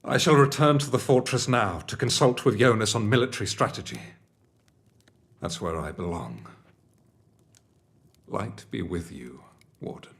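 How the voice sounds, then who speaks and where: Grave, low-voiced military commander. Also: male voice, expressive, a bit bright, slight background noise. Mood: resolute. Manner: formal, grave, deliberate. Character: stern, dutiful military commander, measured and grave. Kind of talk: video game character acting.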